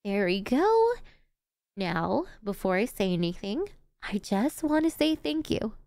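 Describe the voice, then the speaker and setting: Woman voicing a gleeful yandere supervillainess, ASMR roleplay, theatrical and teasing, swooping intonation, unhurried delivery. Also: mid-range voice, sing-song, creaky, darkish tone, no echo, studio quality. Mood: adoring, excited. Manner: theatrical, teasing, chatty, breaking into giggles. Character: gleeful, cocky, doting yandere female supervillain.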